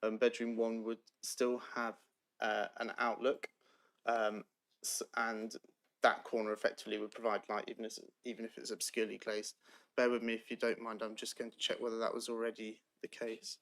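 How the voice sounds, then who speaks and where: A man, a planning officer giving measured, procedural answers at a council meeting with frequent ums. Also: lowish voice, completely flat delivery, a bit bright.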